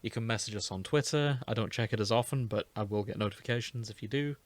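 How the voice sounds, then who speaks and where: A man's voice: fairly low pitch, quick pace, no echo. A man hosting a podcast in a loose, casual chatting style. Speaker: podcast host. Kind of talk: casual chat, loose sign-off.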